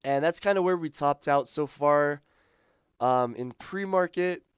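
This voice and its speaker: A man hosting a live day-trading stream, his voice scratchy as he loses it, talking through charts in a relaxed way full of ums and uhs. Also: a little high, unhurried, clear, resonant tone, no echo, muffled recording. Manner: relaxed, filler-heavy, thinking aloud. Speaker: day trading livestream host. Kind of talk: live market commentary.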